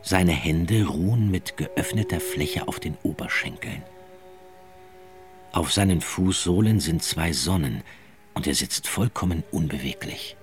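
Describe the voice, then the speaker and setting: Casting: male narrator, low voice, measured storytelling for radio drama, over prominent music. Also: animated.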